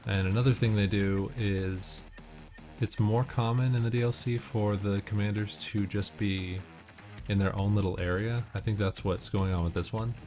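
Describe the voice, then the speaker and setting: A man's voice: fairly low pitch, fairly even intonation, moderate pace, a little mellow. A man giving casual, matter-of-fact walkthrough commentary on a video game, explaining tips as he plays. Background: video game audio with music and gunfire.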